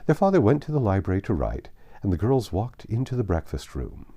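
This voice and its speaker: A man reads a classic novel aloud as a narrator. His voice is mellow and fairly low. His intonation moves widely through the scripted prose. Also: very animated, brisk. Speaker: audiobook narrator.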